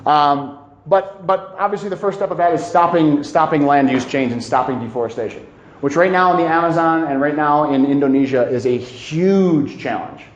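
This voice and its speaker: A man presenting an informal educational talk, speaking emphatically and haltingly, often repeating key phrases for weight. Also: a little high, lo-fi sound. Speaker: presenter giving a climate talk. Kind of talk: informal educational lecture to a live audience. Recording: echoey room.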